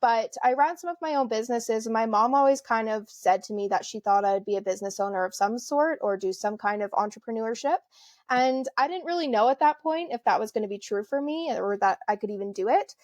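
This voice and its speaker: A woman's voice: on the high side, fairly quick, a little mellow, dry recording. Friendly young Canadian woman, dry sound. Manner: friendly, chatty, frequent fillers and light laughs. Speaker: podcast guest. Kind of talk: casual interview conversation.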